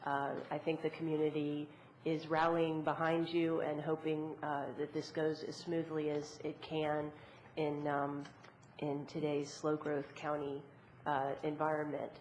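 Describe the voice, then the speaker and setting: A woman speaking haltingly in public comment at a meeting. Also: low voice, completely flat delivery, a little mellow. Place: indoor council meeting room. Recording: dull lo-fi sound, faint noise.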